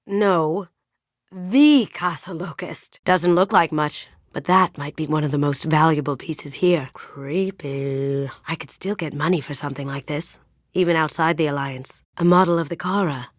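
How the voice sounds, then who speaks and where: A playful, coolly confident female master thief from a sci-fi video game. Her voice sits a little low for a woman and she talks with wry, sly ease. The recording is very dry, with no room around it. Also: unhurried, dry recording. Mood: wry, admiring. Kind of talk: video game character voice acting.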